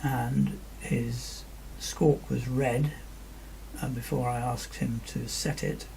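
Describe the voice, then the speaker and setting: A man narrates an air traffic control session with a methodical manner. His voice is very gravelly and his delivery is slow. There is faint noise behind him. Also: medium pitch, little pitch movement, a little mellow, poor audio. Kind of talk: radio phraseology and explanatory screen-recording commentary.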